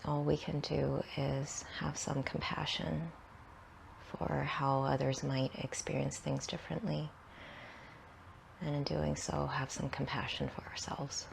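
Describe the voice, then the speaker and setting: A woman guides a meditation in a low-pitched voice thick with creaky fry. She speaks very slowly and soothingly, in short phrases that trail off softly and breathily between long pauses. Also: little pitch movement, a little hiss or hum, rough recording.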